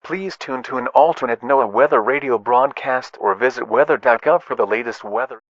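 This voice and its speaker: A man's voice: mid-range voice, moderate pace, dry recording, close to an everyday voice. A synthetic male voice as an automated weather radio announcer, reading formal bulletins in an impassive, robotic way. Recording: dull lo-fi weather radio broadcast.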